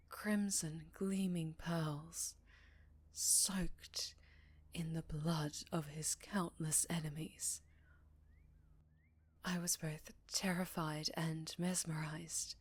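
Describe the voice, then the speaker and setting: A woman playing a haunted, sorrowful vampire in an ASMR roleplay, telling her tale slowly with a Southern accent and a breathy voice that often slips into whispers. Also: on the low side, exaggerated voice. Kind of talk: ASMR roleplay, intimate dramatic storytelling.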